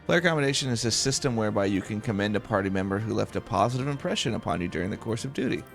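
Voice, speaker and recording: A man with a creaky voice doing a calm, easygoing let's play, chatting casually and reading game text aloud. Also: fairly low pitch, racing. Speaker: video game streamer, let's player. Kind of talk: calm, slow-paced gameplay chat with read-aloud game text. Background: video game music.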